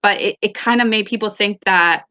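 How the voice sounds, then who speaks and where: Bright-voiced woman on a lo-fi call. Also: medium pitch, a little fast, clear, resonant tone. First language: Tamil. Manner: thoughtful, many fillers and restarts. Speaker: book club co-host. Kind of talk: casual book discussion over video call. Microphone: laptop or phone mic on a video call.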